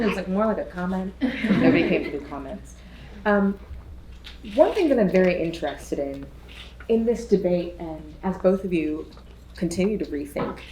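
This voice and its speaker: A woman panelist thinking aloud in a chatty, musing way, with frequent restarts. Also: fairly low pitch. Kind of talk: casual panel discussion. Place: lecture hall. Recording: echoey hall with faint noise.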